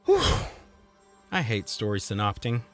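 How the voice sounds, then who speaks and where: A man's voice: wildly swooping pitch, unhurried. Wry, sarcastic male game reviewer over music. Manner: wry, sarcastic, with big jumps in pitch for jokes. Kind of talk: scripted comedic review narration.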